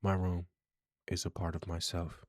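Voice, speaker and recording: A man narrating a horror game playthrough in a hushed, slow, deliberate reading style. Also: low-pitched, little pitch movement, no echo, studio quality. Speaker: game streamer, channel host.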